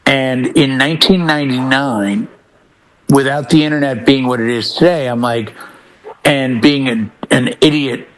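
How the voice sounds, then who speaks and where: A man co-hosting a casual talk show, telling stories in an animated way and acting out bits of conversation. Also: medium pitch, measured pace. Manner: animated storytelling, acting out imagined phone calls. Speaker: podcast co-host, working DJ. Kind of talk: casual chat, storytelling.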